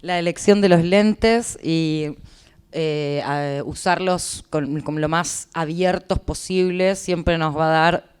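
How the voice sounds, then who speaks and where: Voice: mid-range voice, slow, a little mellow, clean tone. An interpreter with an Argentine Spanish accent, explaining to listeners in consecutive turns at a conference.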